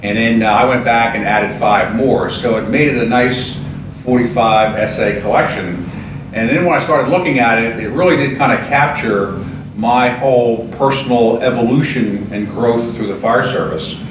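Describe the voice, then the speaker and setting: A man in his sixties, a retired fire service veteran, answering interview questions in an earnest, steady way with many fillers and restarts. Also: mid-range voice, moderate pace, distant mic. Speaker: retired fire chief and author. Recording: echoey room, voice from off-mic, clear background noise.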